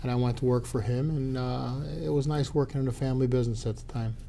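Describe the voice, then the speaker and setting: A man with a mellow, mid-pitched voice answers a local interviewer, measured and a little halting. Faint room noise hums behind him. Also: steady tone. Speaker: grocery business owner, guest.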